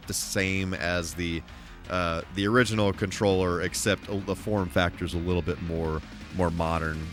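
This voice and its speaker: A man hosting a casual, rambling game livestream, animated and emphatic, repeating himself for emphasis. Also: sits low. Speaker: live streamer and host. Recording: music playing behind the voice. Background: music.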